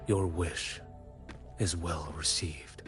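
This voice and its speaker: A man's voice: low-pitched, fairly even intonation, drawn-out words, breathy edge, heavily acted. An actor playing a solemn, ancient male arbiter who pronounces judgment in stately, ceremonial video game dialogue. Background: game music.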